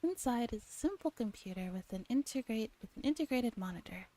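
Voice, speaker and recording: A young woman streamer with a soft, breathy voice, reading a visual novel aloud in ASMR style and chatting playfully in casual slang. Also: a little high, lively intonation, brisk. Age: young adult. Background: game music.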